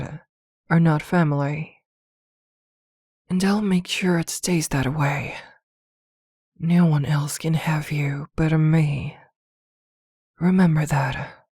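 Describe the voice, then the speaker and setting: A woman playing a possessive, protective witch girlfriend in an intimate ASMR roleplay, speaking softly and soothingly with hesitant pauses. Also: sits low, dry recording, clean studio sound, full character voice. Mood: possessive, menacing.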